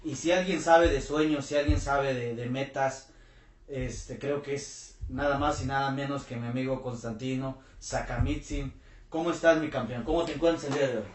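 Interviewer, warm and hesitant; roomy recording. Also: male voice, medium pitch, fairly even intonation. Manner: warm, halting with many fillers. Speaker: interview host, channel host. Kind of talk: casual interview. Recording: roomy echo.

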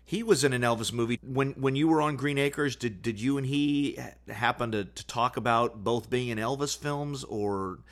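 A man hosting a casual interview, affable and a little halting as he talks. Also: mid-range voice. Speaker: podcast host, interviewer, singer.

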